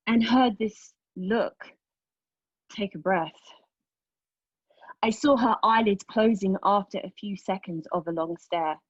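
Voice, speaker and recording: A woman playing a bitter, sardonic pregnant woman in a dramatic monologue, with an intense, deliberate delivery and a husky voice. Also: medium pitch, no echo. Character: anguished, bitter, sardonic pregnant woman telling her story. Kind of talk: dramatic monologue at a table read. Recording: slightly dull, lo-fi sound.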